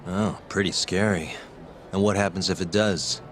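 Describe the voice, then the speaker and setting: A man's voice: low-pitched, steady tone, normal speed, slight background noise, natural voice. An actor playing a laid-back, dry-witted male private investigator in an English video game dub. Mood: cool, wry. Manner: dry, unruffled, wry.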